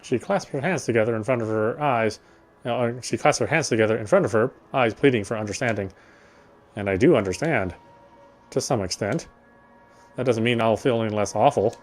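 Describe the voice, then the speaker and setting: A man's voice: mid-range voice, brisk, faint music behind. Man reading game narration aloud. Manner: unpolished read with stumbles and corrections.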